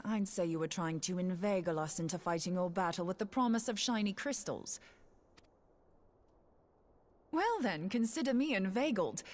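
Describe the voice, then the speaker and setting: An actress playing a brisk, cheeky woman inventor in a fantasy game, talking in a confident, colloquial way. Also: mid-range voice. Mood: breezy, wry. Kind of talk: scripted fantasy game dialogue.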